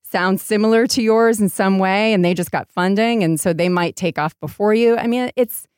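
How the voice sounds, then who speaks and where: A woman's voice: medium pitch, normal speed, a little mellow, smooth, no echo, studio quality. An American woman giving reflective, conversational interview answers, making her points with emphasis. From Maine, United States. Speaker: podcast guest, startup entrepreneur.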